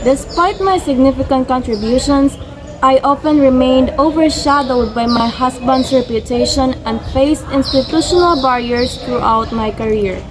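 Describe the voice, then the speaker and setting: A woman's voice: high voice, moderate pace, poor audio. A young woman reading out a scripted first-person biography as a student presentation, in a recited manner.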